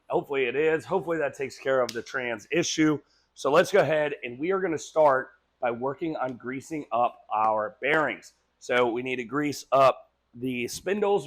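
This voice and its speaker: A man's voice: medium pitch, fairly bright tone, dry recording. A man hosting a truck restoration vlog, talking casually with ums and small laughs while he loses his voice. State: losing his voice from postnasal drip. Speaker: host of a truck restoration channel. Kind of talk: casual how-to workshop vlog.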